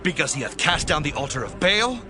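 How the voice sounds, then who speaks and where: Man playing high-voiced, angry accusing townsman. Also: big pitch swings, a little fast, music in the background. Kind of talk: dramatized audio Bible acting.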